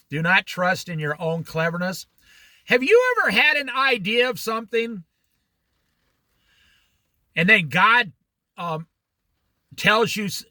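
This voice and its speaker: Bright, lively-voiced man teaching. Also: high voice, dry recording. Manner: animated, challenging rhetorical questions; jokey asides. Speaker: Bible study teacher. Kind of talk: informal Bible study talk.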